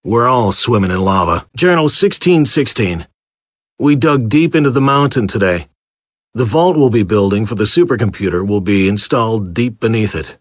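A man's voice: medium pitch, no echo, clean studio sound, lightly acted. A man playing a matter-of-fact facility worker recording journal entries for a video game.

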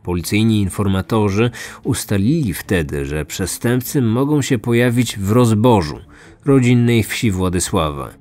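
A male true crime narrator with a fairly low voice. He reads a scripted story seriously and with measure, over faint music. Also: lively intonation, moderate pace, a little mellow. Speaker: narrator, host.

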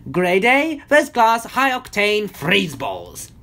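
A gleeful wicked storybook wizard acted out by a woman, theatrical and gloating. His delivery swings wildly up and down, leaping high into cackles and slow, relished threats. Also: huge pitch swings, fairly bright tone, exaggerated voice. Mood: triumphant. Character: gleeful, gloating evil male wizard villain.

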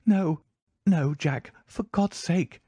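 A man's voice: fairly high pitch, very animated, normal speed, dark tone, husky, no echo, muffled recording, voice pushed up. A man voicing a frightened, secretive wife in an audiobook, pleading in an urgent, emotional manner. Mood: desperate pleading. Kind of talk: audiobook character dialogue.

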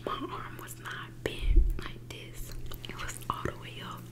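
Whispering young American woman, playful. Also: a little slow. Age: young adult. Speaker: mukbang video host. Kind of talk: whispered ASMR casual chat. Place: small indoor room at a table. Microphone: desktop microphone, close.

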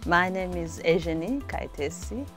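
A woman's voice: fairly low pitch, normal speed, fairly bright tone, soft background music. A woman in her forties from Rwanda, answering interview questions with occasional fillers. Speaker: associate professor. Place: indoor studio, plain backdrop.

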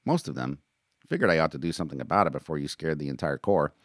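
A man's voice: a bass voice, expressive, fast talker, no echo, clean studio sound. Man playing an exasperated young-army-buddy corporal. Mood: calmer. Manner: exasperated, insistent. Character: frustrated, defensive male army corporal, loyal friend. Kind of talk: audiobook character acting.

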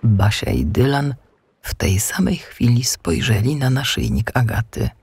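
A man narrating a children's audiobook in an expressive, scripted storytelling style. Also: medium pitch, unhurried, creaky, husky, dry recording.

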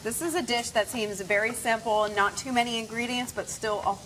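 A woman's voice: a little high, a little fast, fairly bright tone, slight background noise, low-quality recording. A woman co-hosting a live morning TV show, chiming in with enthusiastic remarks about the food. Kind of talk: live TV chat. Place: TV studio set.

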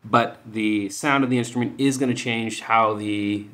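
A man hosting a music software tutorial, explaining informally and thinking aloud as he goes. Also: medium pitch, steady tone, moderate pace. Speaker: music production tutorial host.

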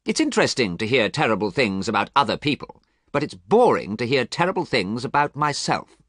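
A man reading as a vain, restless young gentleman, his voice petulant and then hard and cruel. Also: medium pitch, sing-song, fairly quick, no room sound, studio quality, voice pushed up. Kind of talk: audiobook character reading.

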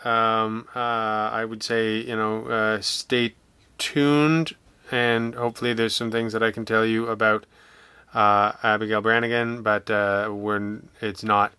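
Bright, clear-voiced man chatting hesitantly. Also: lowish voice, little pitch movement, measured pace, dry recording. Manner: hesitant, self-deprecating, wry. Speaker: interview guest, audio drama writer. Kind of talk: casual interview chat.

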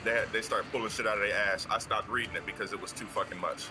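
A man's voice: lowish voice, fast talker. A man hosting a stream, chatting casually in slangy banter with a friend while gaming. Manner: relaxed slangy banter, talks over his friend. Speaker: streamer host. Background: game music and sounds.

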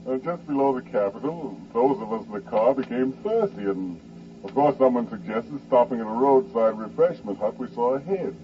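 An actor playing a poet telling a wry anecdote in a 1940s radio drama, measured and conversational. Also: male voice, mid-range voice, lively intonation, natural voice. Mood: wry, reflective. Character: well-known male poet recounting a wry travel anecdote. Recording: muffled, hissy 1940s radio recording.

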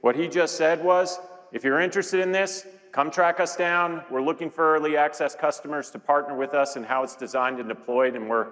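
A man's voice: a little high, steady tone, a little fast, fairly bright tone. A man in his fifties speaking as an executive in a conversational keynote, repeating words and phrases for emphasis. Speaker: chief executive co-presenting a keynote. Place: large conference stage with audience. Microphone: lapel mic. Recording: reverberant large hall sound.

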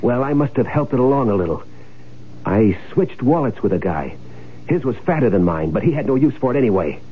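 An actor playing a scheming, hard-bitten crook in a 1970s radio drama, with slangy, pushy, cynical delivery. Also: male voice, medium pitch, big pitch swings, brisk, slight background noise, close to an everyday voice. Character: scheming, hard-bitten crook faking his own death. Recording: thin phone-like 1970s radio recording.

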